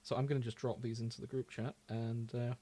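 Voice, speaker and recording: A man co-hosting a podcast in casual, rambling chat, informal and quick to laugh. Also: medium pitch, level tone, warm tone. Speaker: podcast co-host.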